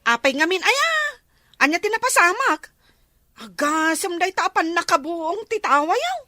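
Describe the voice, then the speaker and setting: Very high-pitched gossipy youngster. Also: female voice, sing-song, moderate pace, pushed and bright, no room sound, clean studio sound, heavily acted. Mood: worried. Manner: exclamatory, gossipy. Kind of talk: comic radio drama acting.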